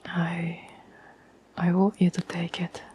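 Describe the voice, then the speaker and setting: Casting: actress as devoted, soothing girlfriend for ASMR roleplay, breathy whisper, mellow and even, faint background noise. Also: on the low side, poor audio. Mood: tender, earnest. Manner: gentle, slow, halting, earnest. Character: tender, devoted girlfriend reassuring an anxious partner.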